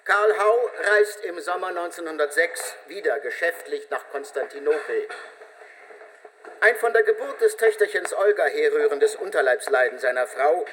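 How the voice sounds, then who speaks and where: A man's voice: on the high side, fairly bright tone, slight background noise. A man giving dramatic scripted radio narration with emphatic, theatrical phrasing, in a reverberant hall sound.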